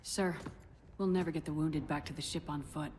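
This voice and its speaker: A woman's voice: low-pitched, fairly even intonation, moderate pace, darkish tone, close to an everyday voice. A woman playing a tough, clipped military commander in a sci-fi game. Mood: brisk. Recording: echoing, distant, faint background noise.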